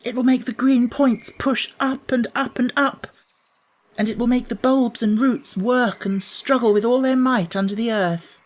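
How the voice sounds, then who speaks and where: A man's voice: very high-pitched, unhurried, voice pushed up. A man voicing a curious, forthright young girl in a storybook, with a direct, earnest manner. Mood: delighted, eager.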